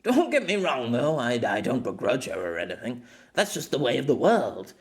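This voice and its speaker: A man voicing a wistful, self-deprecating former sword master in an audiobook, reminiscing in a halting way. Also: medium pitch, sing-song.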